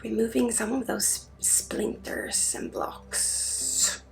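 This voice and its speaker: A woman from Sweden in soft-spoken ASMR as a spiritual energy healer, drifting between a murmur and a breathy whisper. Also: on the high side, steady tone, slow pace, faint music behind, low-quality recording. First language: Swedish.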